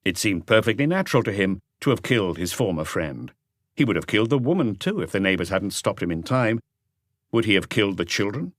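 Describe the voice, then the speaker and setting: Low-voiced male audiobook narrator, dry close sound. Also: sing-song, brisk, no room sound. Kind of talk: scripted literary narration of a crime novel.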